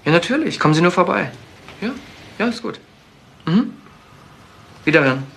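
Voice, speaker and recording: A man's voice: sits high, animated, moderate pace, vocal fry, a bit bright, soft background music, exaggerated voice. An actor playing an eager, trusting young man, a son drawn into intrigue, in a German crime radio play. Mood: eager, agreeable.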